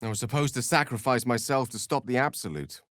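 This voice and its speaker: An actor playing an eloquent, courteous and wry wizard in a fantasy video game, with a British accent and articulate, theatrical phrasing. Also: male voice, medium pitch, a little fast, fairly bright tone, no room sound, clean studio sound, lightly acted. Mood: earnest, troubled. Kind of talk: dramatic fantasy game dialogue.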